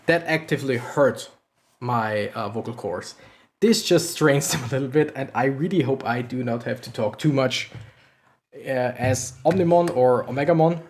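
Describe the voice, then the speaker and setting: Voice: medium pitch, moderate pace, a little mellow. Chatty self-deprecating game streamer. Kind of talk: casual let's play commentary.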